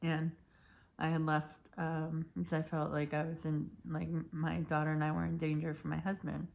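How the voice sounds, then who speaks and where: Woman, 30s, hoarse voice cracking, low flat delivery, halting casual vlog storytelling. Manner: rambling, halting, many restarts and fillers. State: losing her voice, cracking. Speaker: vlogger.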